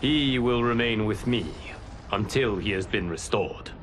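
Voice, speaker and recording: A man playing a stern, solemn guardian god in a video game, speaking formally and deliberately with authority. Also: medium pitch, noisy background, close to an everyday voice. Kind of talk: video game cutscene acting.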